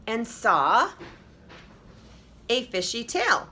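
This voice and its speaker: A woman's voice: on the high side, wildly swooping pitch, drawn-out words, sharp tone, slight background noise. A woman teacher reading a children's story aloud expressively, with emphasis, addressing young listeners directly.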